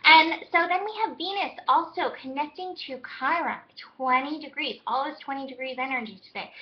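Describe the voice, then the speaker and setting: A woman astrologer giving a daily horoscope in a gentle, reflective, spiritual way, her voice hoarse as she loses it. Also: high voice, highs cut off.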